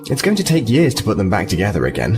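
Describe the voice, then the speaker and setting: Diplomatic lieutenant male actor, animated intonation, composed and explanatory, music behind, sci-fi audio drama. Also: fairly low pitch, fast. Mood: grave, earnest. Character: earnest, diplomatic male starfleet lieutenant, liaison officer.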